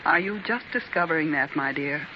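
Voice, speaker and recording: A gently teasing loyal wife; soft, reassuring, dull hissy 1930s disc. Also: female voice, deep voice, lively intonation, brisk. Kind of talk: 1930s radio drama acting.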